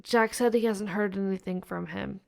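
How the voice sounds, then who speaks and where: A woman's voice: medium pitch, fairly even intonation, moderate pace, close to an everyday voice. A woman playing a frightened young gamer friend in an audio drama, speaking in casual, sweary slang. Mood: worried. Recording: clearly noisy recording with clicks.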